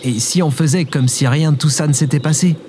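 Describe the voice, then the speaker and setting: A man's voice: on the high side, a little fast, noisy background, natural voice. A man playing an easygoing, reassuring adventurer in a comedic audio drama, casual and soothing. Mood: sly.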